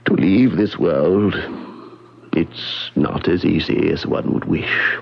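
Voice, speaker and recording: An actor playing a ruined, despairing gentleman in an old-time radio drama, solemn, weary and deliberate. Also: deep voice, gravelly, full character voice. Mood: grim, secretive. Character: despairing, ruined gentleman, grave and resolute husband. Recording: dull lo-fi radio drama recording.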